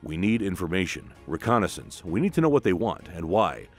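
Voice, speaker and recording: A man voicing a calm, resolute young African king in a comic book retelling, speaking in a measured, solemn way. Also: sits low, faint music behind. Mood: firm, reasoning.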